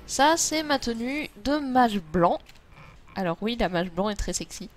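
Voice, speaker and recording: A woman's voice: a little high, animated, unhurried. A French woman chatting casually and playfully as she streams an online game.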